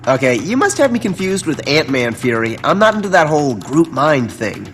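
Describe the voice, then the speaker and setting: A man's voice: on the high side, big pitch swings, moderate pace, background noise. An actor playing a sarcastic, defiant young male superhero in a video game cutscene. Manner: sarcastic, quippy. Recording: game music behind voice.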